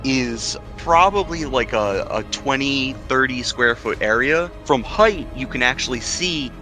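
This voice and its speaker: A man narrating a tabletop roleplaying game as game master, improvising descriptions in a conversational, halting way. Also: medium pitch, animated, bright, forceful tone. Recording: music playing behind the voice.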